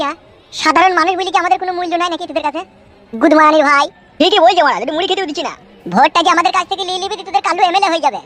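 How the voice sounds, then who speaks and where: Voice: very high-pitched, fairly bright tone. A performer with a female-sounding voice playing a brash, foul-mouthed cartoon villager who argues in crude slang. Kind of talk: comic cartoon dialogue.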